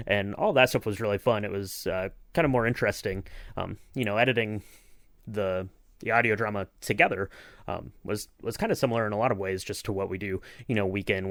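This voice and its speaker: Low-voiced man chatting casually, dry sound. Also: no room sound. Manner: rambling, hedging with kind of and you know. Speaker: podcast host and sound designer. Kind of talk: casual behind-the-scenes chat.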